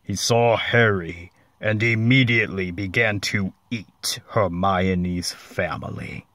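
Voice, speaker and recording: A man narrating a comic story as a dramatic reading, deliberate and mock-serious, with dramatic pauses. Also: lowish voice, fairly even intonation, a bit bright, dry recording.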